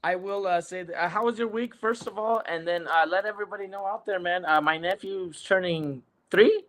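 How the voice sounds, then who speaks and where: This is a man in his forties hosting a loose sports chat, talking a touch high for a man. He rambles, restarts and fills the gaps with ums and uhs. He is heard through a video call. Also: brisk, fairly bright tone. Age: 40s. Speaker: podcast host. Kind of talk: casual sports podcast chat.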